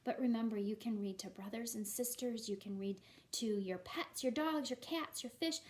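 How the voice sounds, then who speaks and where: A woman with a fairly high voice talks to young children as their teacher. She is encouraging and speaks in a simple, chatty read-aloud style. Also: moderate pace. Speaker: elementary school teacher. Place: at home.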